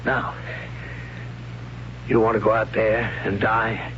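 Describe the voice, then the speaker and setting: An actor playing a gruff older warrior and sword teacher in a 1970s radio drama, blunt and instructing. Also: male voice, fairly low pitch, flat delivery, slow. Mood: blunt, grave. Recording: dull, noisy old radio recording with hum.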